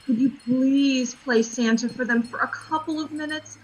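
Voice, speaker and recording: A woman's voice: sits high, normal speed, slight background noise, close to an everyday voice, low-quality recording. An actress playing an eager, imploring woman who minds a group of children in an audio drama. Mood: pleading. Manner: imploring, animated.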